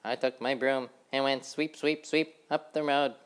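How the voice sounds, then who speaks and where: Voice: mid-range voice, fairly even intonation, moderate pace, voice pushed up, natural voice. A narrator voicing a fussy housefly who sweeps the road and never wants to rest. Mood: eager. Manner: eager, fussy.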